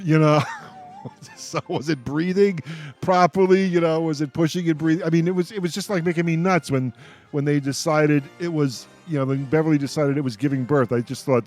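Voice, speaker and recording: A man's voice: on the high side, a little mellow. A man hosts a casual panel discussion in a wry, mock-exasperated way. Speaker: radio show host.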